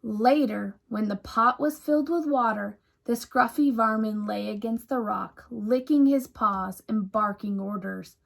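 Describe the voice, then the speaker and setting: A woman reading a children's storybook aloud like a teacher to young pupils, deliberate, emphatic and expressive. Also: on the high side, gravelly.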